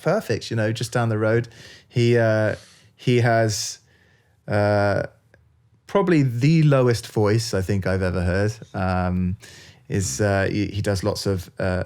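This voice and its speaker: A man's voice: fairly low pitch, creaky, darkish tone. A man, a composer, chatting casually as an interview guest, with lots of fillers and restarts. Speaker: video game composer, guest.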